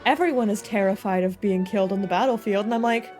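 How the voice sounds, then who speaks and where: A woman's voice: mid-range voice, quick pace, a little mellow. A woman in her twenties chatting casually as she streams a video game, with music behind her. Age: 20s. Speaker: live streamer. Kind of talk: casual gaming stream chat.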